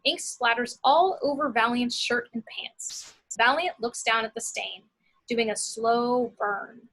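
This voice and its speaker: A woman narrates screenplay action at a table read. Her voice is fairly high and bright, with a noticeably husky edge. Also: a little slow, low-quality recording. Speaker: narrator reading action descriptions.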